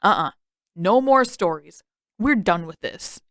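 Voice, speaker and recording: A woman's voice: medium pitch, animated, unhurried, a bit bright, no echo, studio quality. A woman voicing a dramatic teenage brother in an audiobook story, grumbling and indignant with emphatic delivery. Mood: flustered, screechy.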